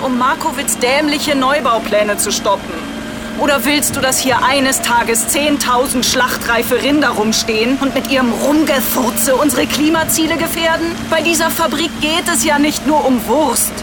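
An actress playing an ambitious, impatient political wife in a satirical radio play, insistent and condescending. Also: female voice, high voice. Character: ambitious, impatient female mayoral candidate, scheming wife. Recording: loud traffic and vehicle noise behind.

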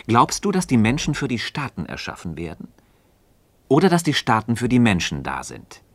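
An actor playing a thoughtful philosopher in a German radio play, questioning a friend in a measured, deliberate, didactic way. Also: male voice, medium pitch, wildly swooping pitch, fairly bright tone, no room sound, full character voice. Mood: earnest, assured. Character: thoughtful, probing male philosopher leading a friend through argument.

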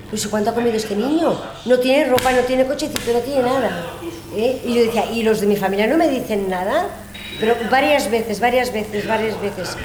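An echoey recording with clear chatter behind a woman telling a personal story. She is casual, repetitive and emphatic. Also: fairly high pitch. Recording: echoey room with background chatter.

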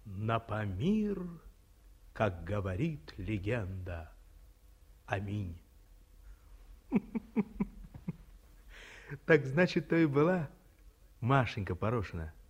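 Geologist: husky, lively, slow, teasing; 1950s radio play. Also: male voice, lowish voice, exaggerated voice. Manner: jocular, teasing, with chuckles. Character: male geologist, teasing and ironic. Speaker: radio drama actor.

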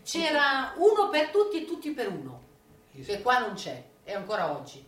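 A woman's voice: fairly high pitch, huge pitch swings, moderate pace, far from the mic. An older woman giving testimony in casual, conversational reminiscence. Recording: echoey room.

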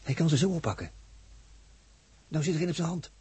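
A man playing an uneasy astronaut with a husky voice, muttering hesitantly in a 1960s radio drama. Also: a little high, a little fast, darkish tone. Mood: intent, puzzled. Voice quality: husky, raspy. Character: uneasy male astronaut watching a crewmate through a crystal.

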